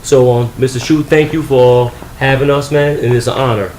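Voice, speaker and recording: A man interviewing a guest in the guest's home, speaking earnestly with many pauses and fillers. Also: medium pitch, steady tone, darkish tone, reverberant, slight background noise, low-quality recording. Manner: measured, filler-heavy, earnest. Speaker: interviewer, magazine publisher. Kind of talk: interview hosting.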